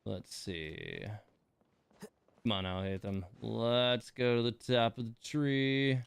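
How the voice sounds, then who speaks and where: A man's voice: mid-range voice, expressive, slow, vocal fry, clear, resonant tone. A man giving casual livestream game commentary, rambling and muttering to himself as he plays.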